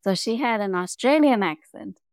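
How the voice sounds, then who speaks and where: A middle-aged woman from Sri Lanka with a Sri Lankan accent, a native Tamil speaker, telling her story as an interview guest and growing animated as she goes. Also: normal speed, no room sound, studio quality. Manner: animated, rising in pitch and volume when telling a story. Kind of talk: conversational storytelling.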